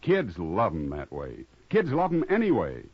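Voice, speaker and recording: An American man works as a 1950s radio announcer, with a fairly low voice and very animated intonation. His tone is a little rough, and the vintage broadcast sounds dulled, with some music behind. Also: unhurried, dull audio, heavy background noise.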